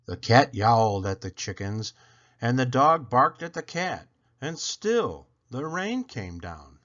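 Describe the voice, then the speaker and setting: A man reading a children's picture book aloud, expressively and with dramatic pauses. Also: mid-range voice, big pitch swings, fairly bright tone, lo-fi sound. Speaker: read-aloud storyteller.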